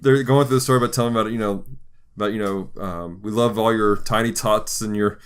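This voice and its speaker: Low-voiced man hosting a casual podcast. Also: steady tone, fast talker, clear, resonant tone. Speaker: podcast host. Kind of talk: casual chat.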